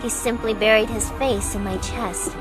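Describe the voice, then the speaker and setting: High-pitched young actress as woman narrator. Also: fairly even intonation, normal speed, over loud music, lightly acted. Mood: tense, descriptive. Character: composed young woman, caring, narrating her own story. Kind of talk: first-person visual novel narration, fan dub.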